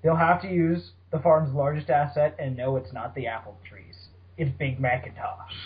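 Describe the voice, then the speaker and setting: A man with a slightly high voice co-hosts a casual panel podcast. He talks in a teasing, loosely profane way among friends. The audio is a bit dull and lo-fi. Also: fairly even intonation, moderate pace. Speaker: podcast host. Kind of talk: casual panel chat about fan fiction.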